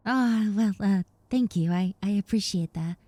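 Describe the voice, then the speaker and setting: Woman acting a hesitant, mellow young roommate with regrets. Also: medium pitch, moderate pace, dry recording, close to an everyday voice. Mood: remorseful, earnest. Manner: hesitant, stammering, self-conscious, rambling. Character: shy, rambling recovering yandere young woman, apologetic new roommate. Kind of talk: roleplay audio acting, intimate one-sided conversation.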